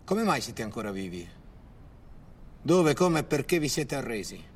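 A man's voice: medium pitch, sing-song, moderate pace, a little hiss or hum. A man reading scripted documentary narration in a dramatic, rhetorical way. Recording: music under the voice. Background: music.

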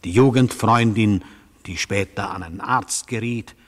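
A man's voice: fairly low pitch, unhurried. An actor playing a stern, sober judge in a German radio drama, firm, dry and formal as he questions a defendant. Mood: rebuking. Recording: long hall-like reverb.

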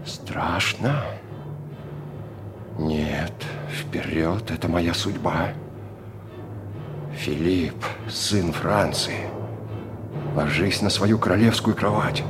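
Radio drama: fateful, regal man, declamatory and grave, unhurried, lower register, prominent music. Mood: solemn, resolute. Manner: solemn, declamatory. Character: solemn, fateful male dramatic speaker, stern and regal. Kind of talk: Russian radio play acting. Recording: loud music over the voice.

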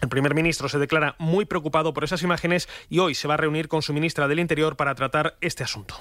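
A man's voice: a little high, steady tone, quick pace, fairly bright tone, slight background noise. A man from reading a scripted radio news report.

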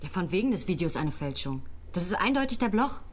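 An actress playing a sharp woman held in custody, speaking in a brisk, sharp way. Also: on the low side, very animated.

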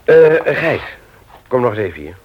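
An actor playing an earnest, courteous company secretary in a radio play, discreet and reassuring. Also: male voice, medium pitch, very animated, moderate pace. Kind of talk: Dutch radio play acting. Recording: muffled vintage radio drama recording.

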